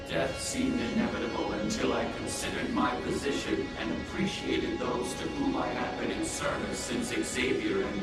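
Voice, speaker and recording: Drenched in echo and set far back behind loud music, this is an urbane machine villain acted by a man from a comic-book drama. The computer speaks formally and articulately, coldly grandiose and condescending. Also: mid-range voice. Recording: heavily echoing, distant, loud music behind.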